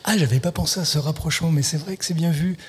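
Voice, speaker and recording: A man with a slightly husky voice answering radio interview questions at a festival. He speaks in a thoughtful, hesitant way, restarting his sentences as he searches for words. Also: on the high side, quick pace. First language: French. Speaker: literary translator, guest. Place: festival venue, live broadcast.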